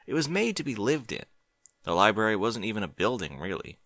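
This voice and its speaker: A man narrating a fan fiction story in an expressive, animated reading. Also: on the low side, brisk, no room sound, clean studio sound. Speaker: audiobook reader.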